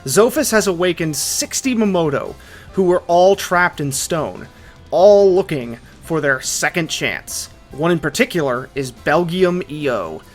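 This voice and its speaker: Video essayist: male, slightly high pitch, opinionated conversational narration over soft music. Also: animated, unhurried.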